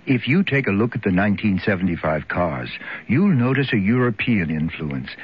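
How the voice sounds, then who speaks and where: A man with a husky voice reading a scripted car commercial as a 1970s radio announcer. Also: lowish voice, big pitch swings, normal speed. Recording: dull 1970s radio recording.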